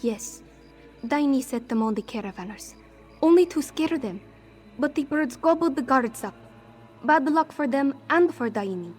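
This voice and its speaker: A woman acts out a scrappy female cat-folk thief from a fantasy game, her voice on the high side. She is anxious and defensive, with stiff, formal phrasing hardened by life on the street. Music plays behind her. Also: expressive, moderate pace, a little mellow. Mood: defensive, pleading. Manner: refers to herself in third person, formal contraction-free phrasing. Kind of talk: fantasy game character dialogue.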